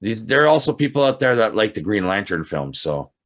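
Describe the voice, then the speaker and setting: Man, lively mid-pitched voice. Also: brisk. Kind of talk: casual chat.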